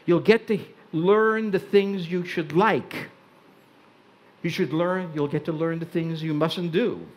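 Older man, first language Hungarian, wry stage talk, high-pitched, headset mic in a big tent. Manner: wry, deliberate, pacing the stage. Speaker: speaker. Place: large tent stage with audience.

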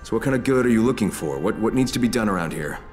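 An actor playing an earnest, dogged outsider in a video game, speaking plainly and sometimes hesitantly. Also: male voice, on the low side, expressive, fast talker, a little mellow, soft background music, close to an everyday voice. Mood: earnest, puzzled. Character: earnest male stranger seeking medicine, dogged and plain-spoken.

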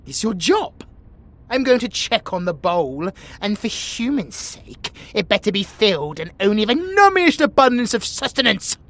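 Man playing a high-strung, pompous British tiger warrior. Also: very animated, moderate pace, fairly bright tone, full character voice. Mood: demanding. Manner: haughty, demanding, theatrical exclamations. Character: pompous, bratty, self-important male tiger warrior creature. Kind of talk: comedic audio roleplay.

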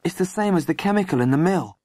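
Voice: medium pitch, lively intonation, quick pace, a little mellow, no echo, voice pushed up, close to an everyday voice. A narrator voicing an earnest schoolboy in an audiobook, eager to explain but tentative before his father. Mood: urgent.

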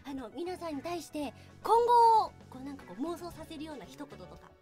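A woman's voice: high-pitched, very animated, brisk, dark tone. A woman hosting a playful promotional chat in a bubbly, teasing manner. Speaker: host. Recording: music playing behind the voice. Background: background music.

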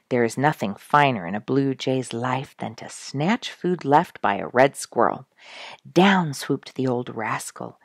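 Middle-aged female story reader, husky with creaky edges, deliberate and emphatic. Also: very deep voice, a little mellow, dry recording, clean studio sound. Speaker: storyteller reading a book aloud. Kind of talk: expressive storybook narration. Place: small quiet room indoors, seated in an armchair.

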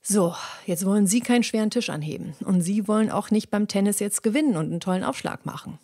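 A woman hosting a podcast, explaining how the voice works in a lively, chatty monologue with many fillers and repeats. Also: medium pitch. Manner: lively, acts out little dialogues in quick voices; frequent fillers and repeated words. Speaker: podcast host and voice coach.

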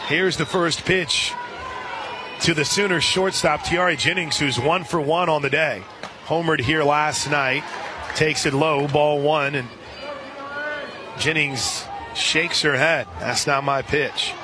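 A man working as a radio play-by-play announcer, calling a live softball game. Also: on the high side, steady tone, slow pace. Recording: outdoor stadium crowd noise behind. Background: ballpark crowd and outdoor ambience.

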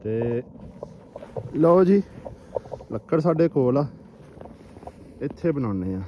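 A man with a Punjabi accent talks casually to viewers in an outdoor vlog. His voice sits at an average pitch. There is some faint noise in the phone-camera recording. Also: big pitch swings, takes it slowly, highs cut off. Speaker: vlogger. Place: outdoors in a snowy forest. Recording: noisy outdoor phone camera audio, clicks.

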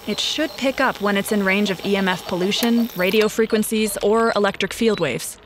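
A woman's voice: mid-range voice, normal speed, background noise, close to an everyday voice. An actress playing a driven woman investigator in an audio drama, speaking in a clipped, determined way. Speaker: detective lead in audio drama. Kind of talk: audio drama acting with inner narration.

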